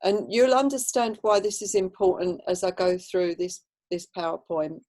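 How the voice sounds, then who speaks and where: A woman's voice: on the low side, fairly even intonation, normal speed, darkish tone. A woman giving an informal, rambling slide presentation on a video call. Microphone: video call microphone.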